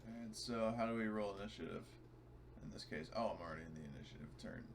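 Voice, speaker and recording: A man's voice: on the low side, fast talker, creaky, a little hiss or hum. A man trading casual banter as a player in a tabletop game.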